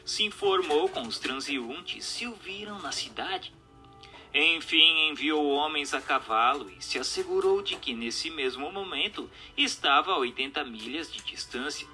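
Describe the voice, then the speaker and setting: Guy reading an audiobook, very bright sharp voice, formal, bit of music behind him. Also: a little high, expressive, unhurried. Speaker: narrator reading a book aloud. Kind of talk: scripted narration, audiobook reading.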